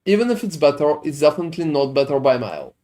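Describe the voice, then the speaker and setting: A man's voice: on the high side, big pitch swings, rapid-fire, mellow. A man from Sweden streaming a video game, chatting casually with viewers, rambling and swearing as he answers their questions. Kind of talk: casual gaming stream chat.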